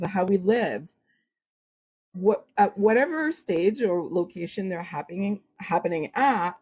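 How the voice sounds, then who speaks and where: A woman's voice: fairly low pitch, mellow. A woman whose first language is French, hosting an astrology livestream and chatting casually with viewers, full of "like" and "you know". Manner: self-described formal when speaking English.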